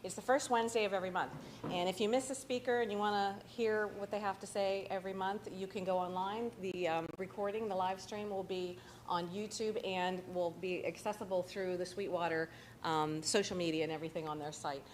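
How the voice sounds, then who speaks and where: A woman introduces the evening's speaker at an arts center, reading from notes and pausing to paraphrase. Her voice sits at a middle pitch, with a faint hum of room noise behind her. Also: steady tone. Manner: reads from notes, paraphrasing with hesitations. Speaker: host. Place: arts center event, live audience and stream.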